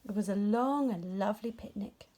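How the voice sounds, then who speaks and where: A woman reading a scripted children's story aloud in an expressive, performed way. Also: medium pitch, normal speed. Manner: expressive, acts out dialogue. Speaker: storybook reader.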